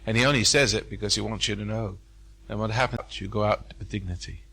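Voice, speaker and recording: An older man holds forth to a seminar audience in a hotel function room. His voice is bright and forward, his manner folksy and sermon-like, driving points home by saying them twice. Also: on the low side, steady tone, quick pace, creaky, poor audio. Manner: folksy, preacherly, repeats phrases for emphasis. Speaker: seminar speaker. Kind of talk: live seminar talk with audience.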